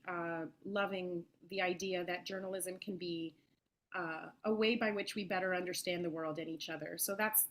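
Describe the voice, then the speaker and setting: A woman in her thirties, a journalist, giving a thoughtful and articulate account of her path into her work, with soft fillers. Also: mid-range voice. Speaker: guest journalist. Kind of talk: reflective interview answers.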